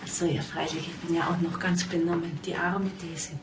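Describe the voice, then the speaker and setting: A woman's voice: on the low side, little pitch movement, a little fast, music in the background, close to an everyday voice. Chatty salon owner played by a woman. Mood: gossipy. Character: chatty, eager female beauty salon owner. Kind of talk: TV crime comedy acting.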